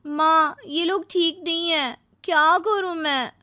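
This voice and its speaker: Woman doing a shrill, pleading young wife. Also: fairly even intonation, slow, sharp tone, no room sound, studio quality, exaggerated voice. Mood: complaining. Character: young married woman, emotional and whiny. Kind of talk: Hindi cartoon story voice acting.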